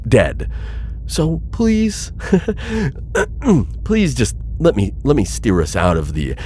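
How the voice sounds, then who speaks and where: A man's voice: lowish voice, a little mellow, a little hiss or hum. A man playing an anxious, pleading insectoid alien bounty hunter in a sci-fi audio roleplay, flustered, hesitant and formally wordy. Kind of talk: scripted audio roleplay addressed to listener.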